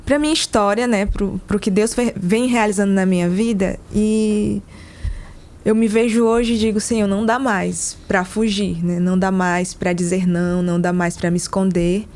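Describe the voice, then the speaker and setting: A woman's voice: mid-range voice, fairly even intonation, normal speed, darkish tone. A woman from Amazonas with a Brazilian accent, giving casual personal testimony as a podcast guest. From interior of Amazonas, Brazil. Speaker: podcast guest, consecrated religious community member.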